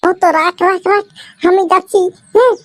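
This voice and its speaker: An extremely shrill, high cartoon schoolboy in a dry recording. He is an excitable village friend with a Purulia accent, nagging insistently in exclamatory comic slang. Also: brisk, no room sound. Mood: excited. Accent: Purulia, West Bengal.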